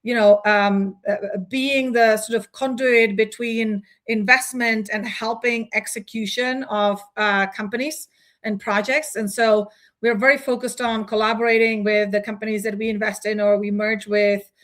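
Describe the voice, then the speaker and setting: A woman's voice: on the high side, steady tone, normal speed, fairly bright tone. A woman in her forties, from the Czech Republic, answering as a guest CEO in a business interview, thoughtful, with frequent fillers and restarts.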